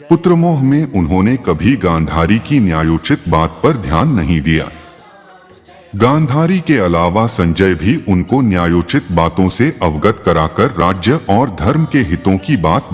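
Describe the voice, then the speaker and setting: A man narrating scripted mythological tales in a formal, dramatic storytelling style. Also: low-pitched. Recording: long reverb, faint music behind. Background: faint background music.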